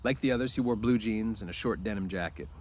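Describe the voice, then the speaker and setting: Low-voiced man reading a story aloud. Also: fast talker, darkish tone, slight background noise. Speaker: reader. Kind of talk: amateur storybook read-aloud narration.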